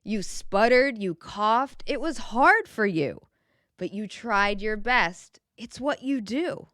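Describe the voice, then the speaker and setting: Slow, smooth, expressive woman reading a storybook. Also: mid-range voice, dry recording, clean studio sound. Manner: gentle, expressive, clearly enunciated storybook delivery. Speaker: children's picture book narrator. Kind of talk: read-aloud storytelling with questions to the child listener.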